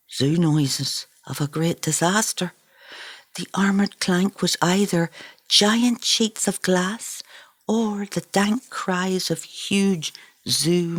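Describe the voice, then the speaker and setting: Low-voiced woman reading fiction. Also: no echo. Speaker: author reading their own novel. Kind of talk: literary audiobook narration.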